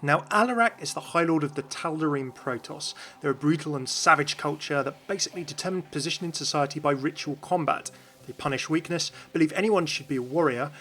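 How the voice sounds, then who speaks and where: Higher-pitched man narrating game lore. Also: bright, forceful tone. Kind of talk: scripted explainer narration.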